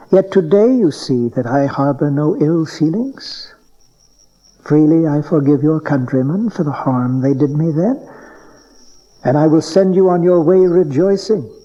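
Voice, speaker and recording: An actor playing a scheming king who feigns piety, with measured, grandiose oratory in a Bible audio drama on a dull cassette recording. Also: on the high side, warm tone. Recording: dull cassette-tape sound.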